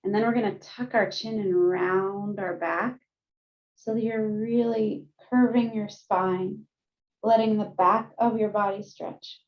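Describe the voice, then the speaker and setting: A woman counselor leading a group through guided mindfulness and gentle yoga, speaking calmly with frequent light laughs, heard over a video call. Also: medium pitch, unhurried. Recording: muffled lo-fi video call audio.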